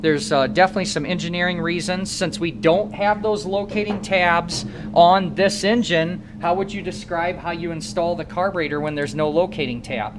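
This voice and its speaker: High-voiced instructor in a noisy shop. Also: male voice, normal speed, hardly any pauses. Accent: Midwestern American. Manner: coaching, asks students questions. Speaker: motorcycle technician instructor. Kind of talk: hands-on shop demonstration. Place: motorcycle repair shop.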